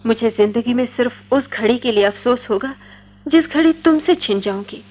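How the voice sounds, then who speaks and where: A woman's voice: on the high side, lively intonation, normal speed, darkish tone. An actress playing a defiant young college woman desperately in love, speaking poetically and theatrically in a Hindi radio drama. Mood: ardent devotion.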